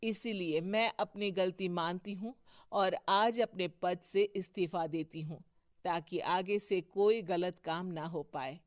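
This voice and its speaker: A woman's voice: on the low side, fairly even intonation, slow pace, no echo, clean studio sound. A woman playing a wronged, resolute wife and councillor who speaks earnestly and formally in a moral story. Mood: earnest resolve.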